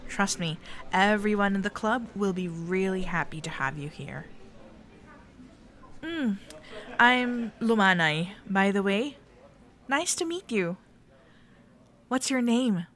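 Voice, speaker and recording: A woman playing a friendly, playful club member in a roleplay audio, gently reassuring a shy newcomer as she speaks directly to the listener. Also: medium pitch. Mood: warm, welcoming. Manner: gentle, reassuring, playful, hesitant soft-spoken chattiness.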